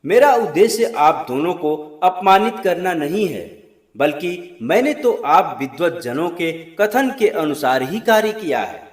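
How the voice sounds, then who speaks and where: A man storyteller voicing a wise, humble village headman who speaks politely and with measured care in a moral tale. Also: on the high side, lively intonation. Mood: calmly reproving. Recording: roomy, echoing voice recording.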